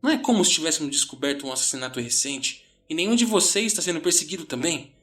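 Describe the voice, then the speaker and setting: Man acting a panicked, high-strung teenage boy. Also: lively intonation, fast, fairly bright tone. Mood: frantic. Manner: stammering, ranting. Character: panicked, whiny sixteen-year-old boy, frantic and exasperated. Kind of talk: horror audio story character voice.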